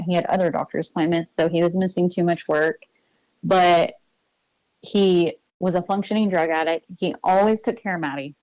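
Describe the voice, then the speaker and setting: A woman's voice: on the low side, darkish tone, no echo, low-quality recording. A woman interview guest giving a personal account in an earnest, insistent way, repeating points for emphasis.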